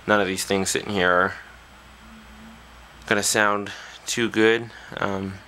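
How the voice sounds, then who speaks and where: A man's voice: fairly low pitch, level tone, takes it slowly, pushed and bright, slight background noise, poor audio. A man talking casually and off the cuff as a hobbyist presenter, hesitantly thinking aloud at an indoor workbench on a camera's built-in mic. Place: small indoor workshop at a bench.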